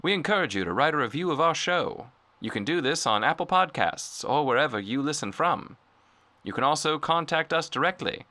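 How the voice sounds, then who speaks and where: An actor playing a polished, genial radio announcer in an audio drama, with formal, salesmanlike delivery in an old-time style. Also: male voice, a little high, bright, forceful tone. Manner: formal, salesmanlike, crisp phrasing. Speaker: sponsor-break announcer.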